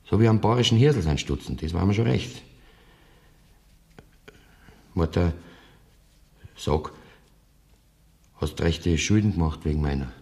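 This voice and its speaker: An actor playing a condemned poacher in a German radio play, a wistful, plain-spoken rustic son with a Bavarian accent. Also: male voice, low-pitched, expressive, moderate pace, gravelly, pauses often, darkish tone. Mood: tender, wry.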